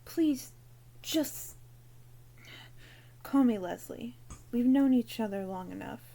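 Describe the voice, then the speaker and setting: Guardian figure, played by a woman, somewhat high voice, unhurried, gentle, caring, audio drama. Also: animated, gravelly, heavily acted. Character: Leslie, caring adult female guardian, gently questioning.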